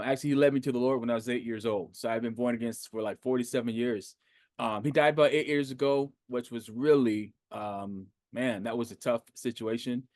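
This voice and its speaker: This is a man in his fifties, an American, hosting an interview with easy, loose talk. He often restarts his sentences and fills pauses with "um" and "you know." His pitch sits in a middle range, and the recording is dry and close. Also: no echo. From United States. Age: 50s. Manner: animated, conversational, lots of fillers and restarts. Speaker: podcast host. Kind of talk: casual interview.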